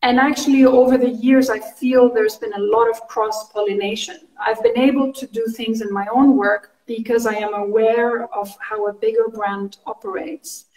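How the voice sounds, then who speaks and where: A woman in her thirties whose first language is Greek, speaking English as a thoughtful, expressive interview guest on a video call. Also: on the high side, moderate pace, hardly any pauses, distant mic. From Greece. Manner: thoughtful, expressive, steady flow. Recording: roomy video call audio from off-mic.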